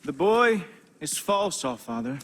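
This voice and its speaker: An actor playing a suspicious, haughty god and loyal henchman in a video game, husky and accusing. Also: high-pitched, sing-song, unhurried, fairly bright tone, full character voice. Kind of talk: cinematic video game acting.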